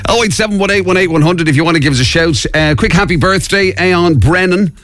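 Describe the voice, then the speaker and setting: A man's voice: high-pitched, quick pace, a bit bright, faint music behind. An Irish man hosting morning radio, chatty and giggly, with plenty of fillers. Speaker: radio host. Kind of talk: casual morning radio banter. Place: radio studio.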